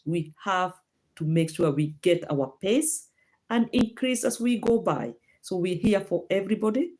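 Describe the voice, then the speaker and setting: Woman, Kenyan accent, livestream host, casual chat, lots of pitch movement, reads comments aloud. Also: a little mellow. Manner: chatty, with many fillers and restarts, reads viewer comments aloud. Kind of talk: casual health talk to a live chat. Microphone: phone or laptop livestream.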